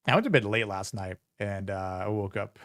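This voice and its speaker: A man's voice: fairly low pitch, moderate pace, a bit bright, no room sound. A man hosting a livestream, chatting casually and rambling with many fillers and restarts into a close mic. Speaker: streamer and show host.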